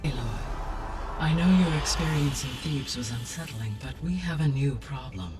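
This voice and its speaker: A man playing a calm, precise artificial intelligence in a video game, speaking in a measured, formal, analytical way. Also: mid-range voice, recorded from a distance, lightly acted. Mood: grave, formal. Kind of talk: scripted video game cutscene acting. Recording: music behind the voice.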